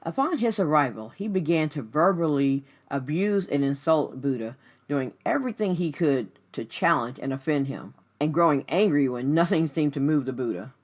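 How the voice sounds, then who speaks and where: A woman's voice: low-pitched, lively intonation, normal speed, hardly any pauses. Woman giving an earnest motivational radio talk. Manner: earnest, direct address to the listener with rhetorical questions. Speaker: radio show host and life coach. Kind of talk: scripted motivational talk with conversational asides.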